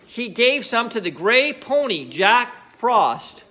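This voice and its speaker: A man reading a children's book aloud as an expressive narrator. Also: very high voice, slow pace. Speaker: storybook reader.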